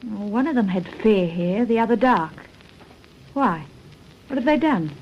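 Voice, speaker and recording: A woman's voice: medium pitch, lively intonation, measured pace, lo-fi sound. A woman playing a blunt, chatty outback petrol-stop keeper with an Australian accent, matter-of-fact and colloquial, in a radio drama. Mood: chatty, curious. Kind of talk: radio detective drama acting.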